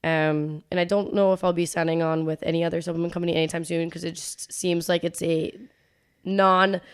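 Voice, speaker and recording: A woman's voice: lowish voice, little pitch movement, a little fast, clear, resonant tone. A young woman in her twenties with a stuffy, congested nose, telling stories casually as a podcast co-host. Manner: chatty storytelling, frequent "like".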